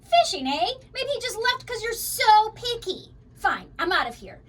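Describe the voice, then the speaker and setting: A woman's voice: very high voice, very animated, a little slow, far from the mic, pitched above the performer's own voice, exaggerated voice. A woman voicing a big, scruffy male storybook monster who whines and tries to sound menacing. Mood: whining, huffy. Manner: whining, mock-menacing. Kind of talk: children's storybook read-aloud.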